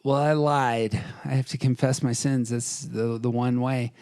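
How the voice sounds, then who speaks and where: A man's voice: mid-range voice, steady tone, a little slow, a little mellow, clean tone, dry recording, clean studio sound. A man co-hosting a podcast in casual debate, bantering in a self-deprecating way. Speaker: podcast co-host.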